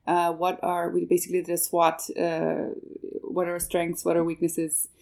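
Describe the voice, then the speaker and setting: A woman who grew up in Canada and whose first language is German, speaking low with a gravelly fry. Her tone is dark and mellow. She answers at length and hesitantly, with many fillers and restarts. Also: completely flat delivery, normal speed. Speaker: guest, manager of a marketing project. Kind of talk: interview answers.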